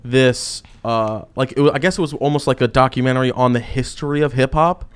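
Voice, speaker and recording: A man's voice: medium pitch, fairly quick, smooth. A young man co-hosting a podcast, telling stories in casual slang and acting out quotes. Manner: animated storytelling with slang and acted-out quotes. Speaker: podcast co-host.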